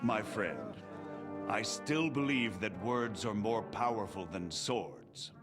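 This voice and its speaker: A man playing a resolute, stately lord in a video game, speaking in a measured, oratorical way. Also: fairly low pitch, very animated, exaggerated voice. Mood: solemn, resolute. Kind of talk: video game drama acting. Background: loud music.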